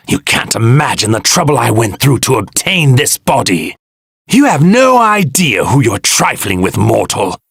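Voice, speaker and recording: A man voicing an arrogant evil god in a stolen warrior's body, speaking formal, grandiose video game lines in a husky, gravelly voice. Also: fairly high pitch, fairly bright tone, no echo, studio quality, exaggerated voice. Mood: haughty contempt. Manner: pompous, grandiose, formal, archaic phrasing.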